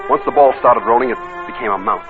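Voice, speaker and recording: Old radio noir recording, male actor as menacing gangster narrator, rapid hard-boiled slang, thin narrow sound. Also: mid-range voice, lightly acted. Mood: hard-boiled narrating. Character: tough, menacing male gangster narrating his crime story. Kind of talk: 1940s radio noir acting. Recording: band-limited old radio recording with music.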